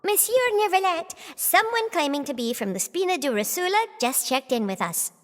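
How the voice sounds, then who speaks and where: High-voiced, formal messenger. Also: female voice, very animated, normal speed, long reverb tail, slight background noise. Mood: dutiful. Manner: formal, reporting. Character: dutiful, formal messenger reporting to a superior. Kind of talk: video game voice acting.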